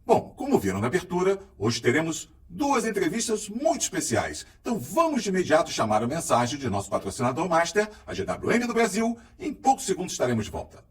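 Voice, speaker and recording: Male show host, upbeat announcer energy, high and very animated pitch, slightly distant from the mic. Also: a little fast, hardly any pauses. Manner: enthusiastic, energetic announcer delivery. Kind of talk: lively program host intro.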